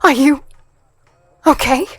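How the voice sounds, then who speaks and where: Higher-pitched earnest fantasy heroine. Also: female voice, slow pace, a bit bright. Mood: startled. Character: earnest human heroine narrating her ordeal in a strange realm. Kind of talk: dramatic first-person narration in fantasy audio drama.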